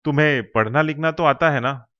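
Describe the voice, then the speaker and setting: A man's voice: on the high side, fairly quick, muffled recording, natural voice. A man voicing a kindly, cautious veteran factory worker in a cartoon story, patiently explaining and advising. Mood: friendly advising. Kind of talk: Hindi cartoon story dialogue.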